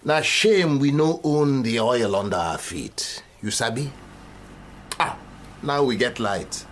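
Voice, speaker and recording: An actor playing a wily, menacing Nigerian fixer in a radio drama, speaking theatrically with a Nigerian accent and pidgin turns of phrase. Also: male voice, medium pitch, expressive, takes it slowly. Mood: sly. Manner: pidgin English, theatrical, sly and taunting. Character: wily, menacing Nigerian male fixer who acts as the law.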